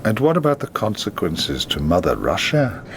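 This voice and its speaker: A worldly, self-mocking man who probes with dry irony. His voice sits deep and low. A clear rumble runs through the film soundtrack. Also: huge pitch swings, normal speed, fairly bright tone, heavily acted. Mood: probing. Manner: dry, ironic questioning. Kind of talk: film drama dialogue.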